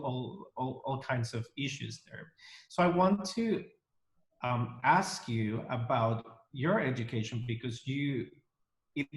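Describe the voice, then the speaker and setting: A man's voice: mid-range voice, roomy sound, low-quality recording. A man hosting an interview over a video call, speaking in a welcoming way with many fillers and restarts. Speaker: interview host. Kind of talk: casual interview.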